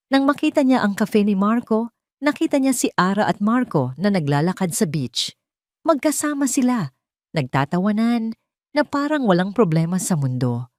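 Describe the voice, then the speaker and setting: A woman's voice: mid-range voice, no room sound, studio quality. A woman with a Filipino accent reading a scripted dramatic story as its narrator.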